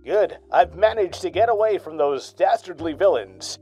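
A man's voice: sits high, animated, normal speed, mellow, husky. A man voicing an earnest male pulp hero in a parody of an old-time radio serial, delivered with square-jawed heroic gusto over faint music.

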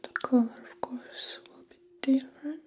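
A young woman whispering softly and gently in an ASMR personal-attention roleplay as a make-up artist, with pauses between phrases. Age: young adult. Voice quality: soft unvoiced whisper. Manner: slow, gentle, unhurried delivery with pauses. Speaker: ASMR host playing a film make-up artist. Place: small indoor room.

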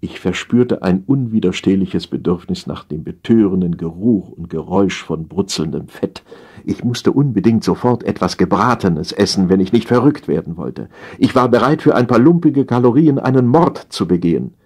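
A man's voice: deep voice, lively intonation, moderate pace, a little mellow, breathy edge. A man narrating a satirical audiobook from a script, with a theatrical, dryly comic delivery.

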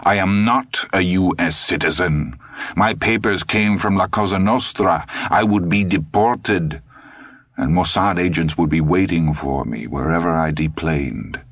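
A man voicing a sinister old man in his seventies who speaks slowly, measured and coldly patient. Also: sits low, fairly bright tone, no room sound, studio quality, exaggerated voice. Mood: menacing. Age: 70s.

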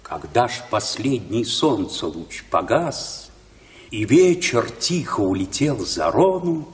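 Radio-play shepherd, grave and tender, very slow declamation, wide melodic swings. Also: male voice, fairly low pitch, big pitch swings, recorded from a distance. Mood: grave, dramatic. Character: old shepherd telling tales, grave and tender. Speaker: radio drama actor. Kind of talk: Soviet-style radio play, verse declamation.

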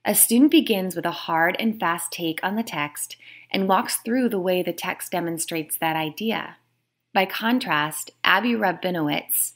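A woman author reading her nonfiction book aloud in a measured, explanatory way. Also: lowish voice. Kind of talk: scripted read-aloud of nonfiction.

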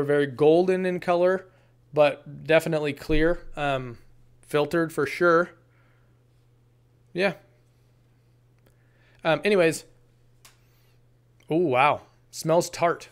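A man in his thirties reviewing a beer from his desk in a casual, rambling way, full of ums and restarts. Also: on the high side. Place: small room, indoors, green screen. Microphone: desk camera, framed from the chest up.

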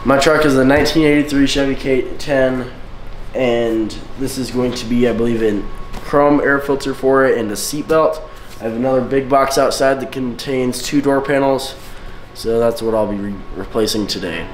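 A man's voice: mid-range voice, moderate pace, slight background noise. Young man, casual explanation, plain delivery, echoey living room. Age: young adult.

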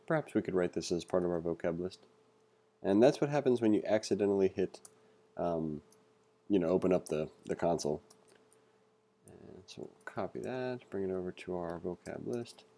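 A man reading aloud through a coding book and thinking out loud as he teaches, with a relaxed manner and frequent fillers. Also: low-pitched. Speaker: coding tutor. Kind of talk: casual read-along lesson.

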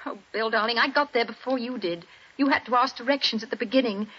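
An actress playing a frightened young wife in a 1940s radio drama, pleading and breathless. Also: female voice, fairly high pitch, quick pace, a bit bright, breathy edge. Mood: anxious. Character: frightened young wife telling detectives what she saw. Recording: dull 1940s radio broadcast.

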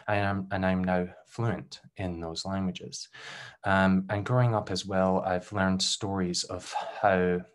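Low-voiced Scottish man presenting. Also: fairly bright tone. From Scotland. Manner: measured, careful delivery with frequent um fillers. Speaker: doctoral researcher presenting. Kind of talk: recorded conference presentation.

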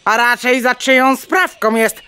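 A woman's voice: on the high side, measured pace, pushed and bright, slight background noise. A woman playing a curious, plucky, naive hen in a children's audio play, exclaiming and stammering into clucks. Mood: secretive.

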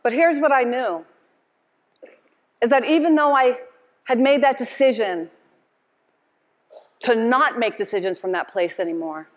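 A woman's voice: fairly high pitch, long reverb tail. A middle-aged woman speaking passionately and emphatically in a motivational keynote, repeating phrases like a preacher. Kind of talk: motivational keynote address with call and response. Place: conference stage, indoors, before an audience.

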